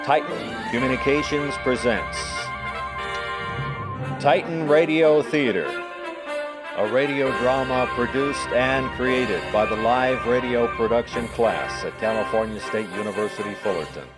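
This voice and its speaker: A man's voice: mid-range voice, a little slow, loud music behind the voice. A man announcing a radio drama program in a scripted, dramatic introduction style.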